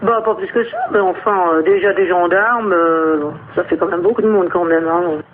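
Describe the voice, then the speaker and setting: A woman's voice: on the low side, normal speed. A middle-aged woman in a casual phone conversation, answering in an obliging, matter-of-fact way. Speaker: member of the public receiving a prank call. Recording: tinny phone line with faint noise.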